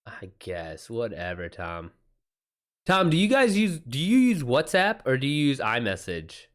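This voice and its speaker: A man's voice: medium pitch, huge pitch swings, unhurried. A man hosting a livestream in a casual, informal style, reacting to chat comments with exclamations and swearing. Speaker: streamer, author hosting a live chat.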